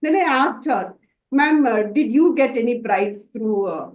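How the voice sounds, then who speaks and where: A woman's voice: fairly high pitch, expressive, a little slow, roomy sound. A woman from Dubai answering interview questions about her makeup work, hesitant and full of fillers and restarts. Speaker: makeup artist student.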